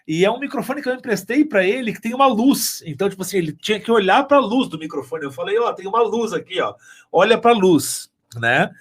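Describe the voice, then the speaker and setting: Animated man with a high-pitched voice. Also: a little fast, breathy edge. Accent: southern Brazilian. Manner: animated storyteller, acting out quoted remarks. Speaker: sound engineer and mixer on an audio drama. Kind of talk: casual interview talk.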